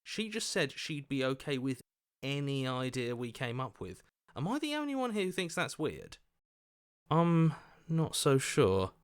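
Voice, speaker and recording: A man's voice: mid-range voice, very animated, a little slow, smooth. An actor playing an earnest, doubtful everyman hero in a video game, questioning and hesitant as he reasons aloud. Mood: doubtful, uneasy.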